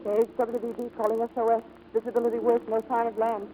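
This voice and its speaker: A woman's voice: a little high, flat delivery, fast talker, noisy background, lightly acted. An actress playing a brave, lovestruck woman aviator, tender and resolute, in 1940s radio drama style. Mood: urgent, strained. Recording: vintage 1940s radio recording, faint music.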